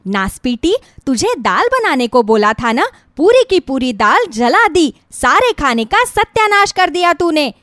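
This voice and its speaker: A woman voicing a cruel, bitter middle-aged mother who berates in a sharp, scornful way for a moral story. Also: sits high, sing-song, unhurried, few pauses, dry recording, studio quality, full character voice. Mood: furious scolding. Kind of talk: Hindi moral story acting.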